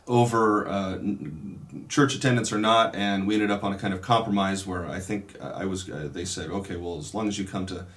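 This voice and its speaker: This is a man with a notably low voice, speaking in a relaxed interview setting. He talks through his memories thoughtfully, pausing often and circling back to restart his sentences. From California. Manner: reflective, unhurried, many pauses and restarts. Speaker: Dominican priest. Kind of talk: casual interview, telling his life story.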